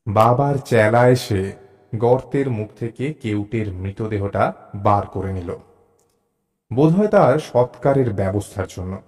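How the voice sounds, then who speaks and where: A man narrating a suspense story in the first person, with deliberate, dramatic phrasing and pauses. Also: mid-range voice, darkish tone. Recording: narration over clear background music. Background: music.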